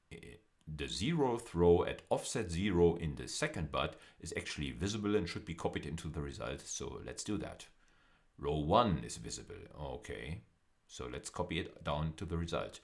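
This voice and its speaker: A man lecturing in an explanatory style, speaking deliberately and often repeating or rephrasing phrases for emphasis. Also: low-pitched. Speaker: university lecturer.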